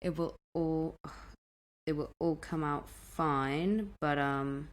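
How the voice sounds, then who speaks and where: A woman's voice: low voice, fairly even intonation, takes it slowly. A woman co-hosting a podcast over a remote connection, chatting casually, laughing often and swearing freely. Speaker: podcast co-host. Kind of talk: casual chat. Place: in Italy, remote recording.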